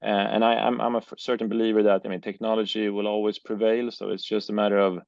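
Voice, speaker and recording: A man answers interview questions in a low, gravelly voice. He hesitates often, filling gaps with uh and um and repeating words. The recording is dry and slightly muffled. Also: flat delivery, quick pace, darkish tone, no room sound. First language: Swedish. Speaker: guest, business owner. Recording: dry, dull lo-fi sound.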